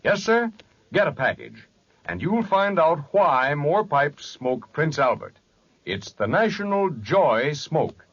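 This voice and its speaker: A man's voice: a little high, sing-song, slow, fairly bright tone, breathy edge. A man reading a scripted 1940s radio advertisement. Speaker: commercial announcer. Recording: dull 1940s radio recording.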